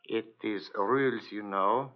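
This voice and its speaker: An actor playing an obliging ship's purser with a Scandinavian accent, speaking polite, halting English in 1940s radio drama style. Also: male voice, on the low side. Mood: polite, hesitant. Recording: dull old radio recording.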